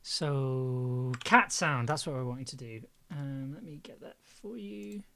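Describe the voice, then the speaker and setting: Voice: medium pitch, lively intonation, measured pace, gravelly, loud music behind the voice. A livestreamer chatting casually and thinking aloud to themself while working as an audio editor. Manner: thinking aloud, muttering to self. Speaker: streamer, audio drama editor.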